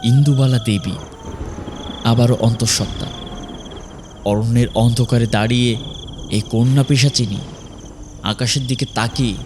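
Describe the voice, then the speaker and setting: A man narrating a scripted horror story in a suspenseful, dramatic way with deliberate pauses. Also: medium pitch, steady tone, background noise. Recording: music behind the voice.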